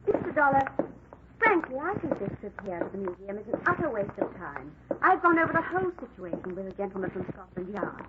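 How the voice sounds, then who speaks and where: A woman playing a crisp, haughty Englishwoman. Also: medium pitch, big pitch swings, fairly quick, lightly acted. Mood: brisk. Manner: crisp and efficient, slightly haughty. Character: brisk, self-assured young Englishwoman sleuth. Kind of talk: 1940s radio acting. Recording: noisy lo-fi old radio recording.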